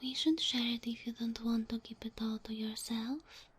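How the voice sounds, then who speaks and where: A woman voicing a sweet, polite kitsune familiar in an ASMR roleplay, soft and whispery, with formal, bashful phrasing. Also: a little high, level tone, moderate pace, noisy background, natural voice. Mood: gentle, devoted. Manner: formal, courteous, slightly bashful. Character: sweet, polite kitsune fox-girl familiar, female, ageless.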